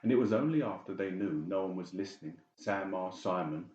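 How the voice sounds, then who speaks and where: A man's voice: sits low, a little fast, a little mellow. A man narrating a dramatic audiobook story from a script. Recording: roomy, slightly dull sound.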